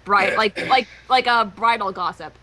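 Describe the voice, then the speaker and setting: A woman's voice: medium pitch, moderate pace, pushed and bright, slight background noise. A woman co-reading a story and chatting casually between lines. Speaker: guest co-reader. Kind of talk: casual chat.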